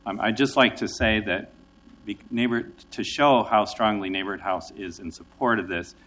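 A man's voice: fairly low pitch, steady tone, normal speed, a bit bright. A man, an executive director, giving friendly, conversational testimony to a city council. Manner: friendly, conversational, some restarts. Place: council chamber.

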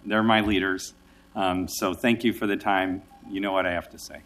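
A man's voice: low voice, fairly even intonation, moderate pace, a bit bright, a little hiss or hum. A man, an executive director, giving friendly, conversational testimony to a city council. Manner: friendly, conversational, some restarts. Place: council chamber.

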